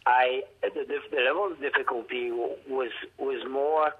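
Man, Haitian accent, artist recalling his past, halting restarts, middle pitch, phone-line sound. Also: fairly even intonation, husky. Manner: reflective, halting with restarts, acts out quoted dialogue. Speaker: artist and art professor. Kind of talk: storytelling interview over the phone.

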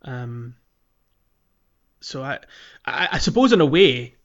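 A man's voice: medium pitch, big pitch swings, takes it slowly. Man chatting on a slightly dull mic. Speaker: podcast host. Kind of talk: casual gaming podcast chat.

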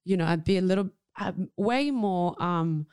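A woman with a Lebanese Australian accent and Arabic as her first language speaks candidly in an interview. Her voice is clear and resonant, recorded close and dry. She talks expressively, often restarting a thought in casual slang. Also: on the low side, moderate pace, darkish tone, no echo. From Lebanon, moved to Australia at thirteen. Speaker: guest, actor.

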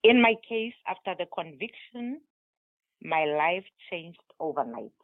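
A South African woman with a lowish voice tells her life story slowly and deliberately. Her first language is Tswana. She comes through a dry, tinny phone line. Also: animated, no echo. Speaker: guest author. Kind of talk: remote interview, telling her life story.

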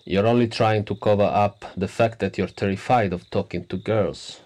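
Guy doing a smug pompous politician in a radio play, lowish voice, full of himself. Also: fairly even intonation, normal speed, darkish tone, natural voice. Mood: scornful. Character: pompous arrogant male prime minister, middle-aged.

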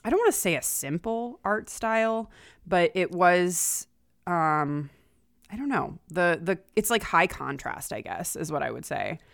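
Chatty woman with a clear, lowish voice. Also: lively intonation, unhurried, fairly bright tone, no echo, clean studio sound. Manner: chatty, laughs while talking, often says "like". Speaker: podcast guest co-host. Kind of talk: casual podcast chat.

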